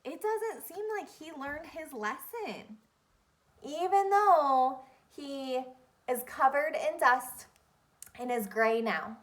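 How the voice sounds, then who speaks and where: Slow-paced woman teacher, higher-pitched voice. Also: fairly bright tone. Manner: deliberate, instructive, repeating key phrases and questions. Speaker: elementary school teacher. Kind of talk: interactive read-aloud lesson to young children.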